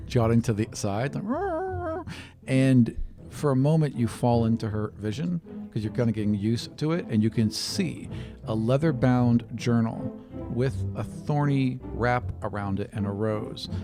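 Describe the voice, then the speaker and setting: A man narrating a tabletop roleplaying game as game master, describing scenes conversationally with casual asides. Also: mid-range voice. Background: music bed.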